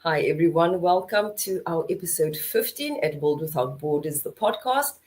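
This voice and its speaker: A South African woman hosting a podcast, chatting in a relaxed way and often checking in with her guests. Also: low voice, moderate pace. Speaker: podcast host. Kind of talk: casual chat.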